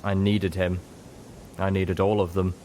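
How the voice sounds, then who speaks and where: A man narrating a dramatic first-person story in a measured, grave and emotive manner. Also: low voice, flat delivery, darkish tone, a little hiss or hum. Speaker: audiobook narrator.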